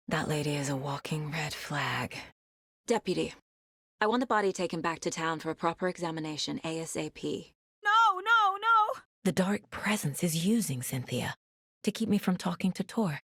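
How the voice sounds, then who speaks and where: A serious, focused female federal agent in a video game, with a lowish voice and a very dry sound. She talks in a matter-of-fact, naturalistic way, like someone working through a case. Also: fairly bright tone, breathy edge, dry recording, exaggerated voice. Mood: businesslike, then shouting, urgent. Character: determined, focused female FBI agent and worried mother. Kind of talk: naturalistic game voice acting, inner monologue and dialogue.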